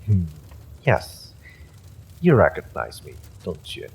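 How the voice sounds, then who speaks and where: A man's voice: deep voice, huge pitch swings, slow, creaky, darkish tone, a little hiss or hum, full character voice. A man playing an evil sorcerer in an audio roleplay, smug and silkily menacing, with a mock-polite, gloating delivery. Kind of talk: dramatic villain monologue, audio roleplay.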